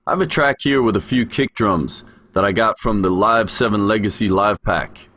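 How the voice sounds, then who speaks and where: A man's voice: on the low side, normal speed, a bit bright, no room sound, clean studio sound. A man giving an explanatory voice-over tutorial as a music production instructor.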